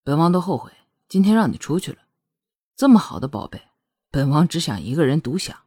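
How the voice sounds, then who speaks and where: A woman's voice: deep voice, very animated, brisk, dark tone, slightly rough, pitched below the performer's own voice, full character voice. A woman voicing a smug, seductive, possessive prince in a storybook romance, teasing and commanding as she drawls.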